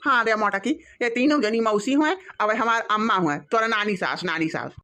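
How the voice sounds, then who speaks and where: A woman's voice: mid-range voice, moderate pace, sharp tone, natural voice. A woman voicing a proud, hospitable village mother-in-law in a comedy cartoon, speaking emphatically with an Awadhi accent. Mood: explaining.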